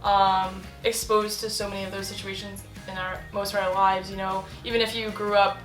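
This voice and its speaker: A woman's voice: medium pitch, level tone, fairly quick. A young woman talking casually in an interview, chatty with many fillers and laughs. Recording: roomy echo with faint music.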